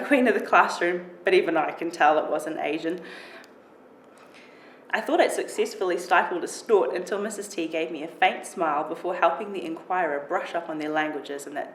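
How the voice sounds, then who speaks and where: Low-pitched woman reading a story, echoey room. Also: fairly bright tone. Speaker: writer reading own short story. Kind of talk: expressive first-person story reading. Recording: roomy echo with clear background noise.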